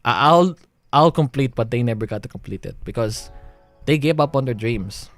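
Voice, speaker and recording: A young Filipino-accented man talks into his stream in a rambling way, restarting his sentences and laughing nervously. His voice sits at an ordinary middle pitch for a man. Faint music plays under him. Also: lively intonation, moderate pace, no echo, studio quality. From Philippines. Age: young adult. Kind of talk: emotional livestream monologue.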